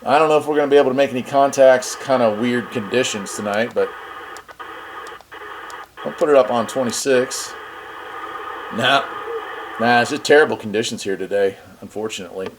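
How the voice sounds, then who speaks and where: A man's voice: mid-range voice, moderate pace, pauses often. A man giving a casual, folksy product demonstration, thinking aloud as he goes. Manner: informal, folksy, thinking aloud while operating a device. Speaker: host of a radio review channel. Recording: clear steady background noise.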